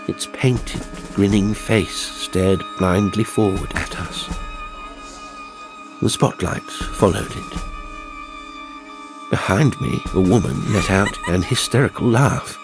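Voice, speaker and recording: A man reading a horror audiobook as narrator, with deliberate, suspenseful, dramatic storytelling. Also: lowish voice. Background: music and sound effects.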